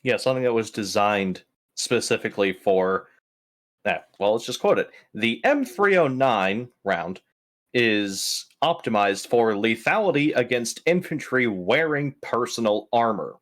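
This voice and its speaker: A man's voice: medium pitch, lively intonation, a little slow, a bit bright. A man bantering casually during a tabletop roleplaying game session.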